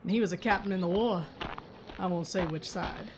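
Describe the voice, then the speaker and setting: A woman's voice: on the low side, fairly quick, background noise, lightly acted. A woman playing a blunt sheriff. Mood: steely. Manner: terse, dry, gritty. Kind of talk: audio drama acting.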